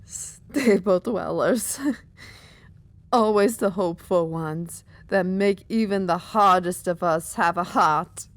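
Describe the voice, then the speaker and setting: A woman's voice: fairly low pitch, takes it slowly, dry recording. A woman playing a weary, hard-bitten female pony mercenary commander in an audio drama, speaking in an earnest, world-worn way. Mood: wry.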